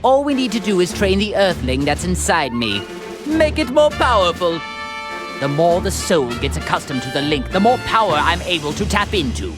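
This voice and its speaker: A man's voice: high voice, big pitch swings, normal speed. A man playing a haughty, scornful alien tyrant in a video game, imperious and theatrical. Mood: calculating. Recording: game music behind.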